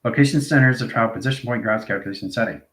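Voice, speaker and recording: A man's voice: medium pitch, racing, fairly bright tone. A man giving an improvised esoteric lesson as an instructor, chanting out strings of technical-sounding phrases in an incantatory way. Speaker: instructor, channel presenter. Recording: echoey, reverberant room sound.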